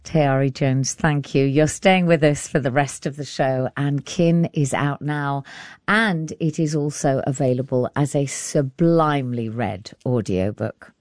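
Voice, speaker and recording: A woman hosts an arts show on the radio with a notably low voice. The sound is dry and close. She delivers scripted links and interview questions as a presenter. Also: unhurried, a little mellow, no echo.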